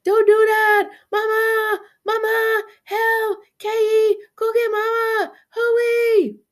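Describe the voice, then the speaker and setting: A woman voicing a panicked boy, wailing and pleading in high, frantic cries for a storybook. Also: completely flat delivery, very slow, heavily acted. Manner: shrieking, pleading, mumbled cries. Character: stuck older brother, panicked wailing boy.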